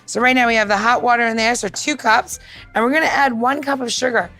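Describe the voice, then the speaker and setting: A woman's voice: fairly high pitch, fast, pushed and bright, soft background music. A woman co-hosting a podcast, talking in a casual, instructional way with an informal, emphatic and jokey manner. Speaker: podcast co-host demonstrating drink recipes.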